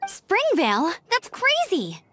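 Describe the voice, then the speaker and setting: A perky young female scout for a video game. The girlish voice sits extremely high and bounces with lively intonation, always brisk and eager. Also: background noise, exaggerated voice. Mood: brisk, concerned.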